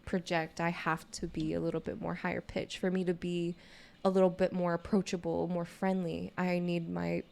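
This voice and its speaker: A young adult woman with a naturally low voice chats casually on a podcast, speaking in a candid, informal way peppered with "you know". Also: flat delivery, moderate pace, creaky, darkish tone. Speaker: podcast co-host. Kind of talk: casual conversational podcast chat.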